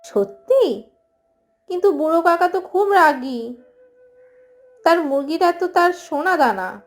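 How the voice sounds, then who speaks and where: A woman voicing female village characters, a mother and a young girl, in an animated fairy tale. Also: very high voice, unhurried, soft background music. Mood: wary. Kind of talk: animated moral story dialogue.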